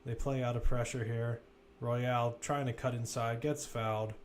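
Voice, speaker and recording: A man's voice: mid-range voice, fairly even intonation, normal speed. A man with a mid-range voice commentates live on a football match. He talks through the play casually and conversationally, with the odd wry aside. Faint ambient noise sits behind his voice.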